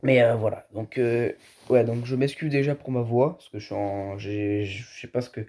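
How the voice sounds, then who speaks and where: A man's voice: mid-range voice, steady tone, normal speed, dark tone. A man giving his opinions in a casual, colloquial car vlog, sounding tired and a bit ill with flu. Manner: colloquial, addresses viewers as buddies. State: under the weather with a flu-like illness, tired. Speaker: car video host.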